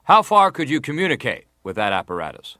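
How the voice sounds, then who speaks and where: An actor playing an American senator who questions a witness formally, measured and insistent, in a dramatized hearing. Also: male voice, medium pitch, big pitch swings, bright, forceful tone, no room sound, studio quality. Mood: formal, probing.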